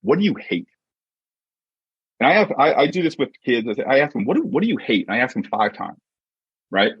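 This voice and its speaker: Very husky man, animated coaching talk. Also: mid-range voice, brisk, no room sound. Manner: emphatic, peppers points with rhetorical check-in questions. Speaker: podcast guest, sports mindset coach and author. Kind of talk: conversational motivational coaching talk. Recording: dull, muffled lo-fi audio.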